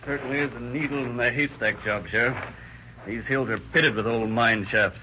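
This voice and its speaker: A man's voice: medium pitch, steady tone, moderate pace, natural voice. A man playing a skeptical deputy. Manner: plainspoken, skeptical. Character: doubtful male deputy sheriff. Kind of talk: 1940s radio acting. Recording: dull old radio recording with faint hiss.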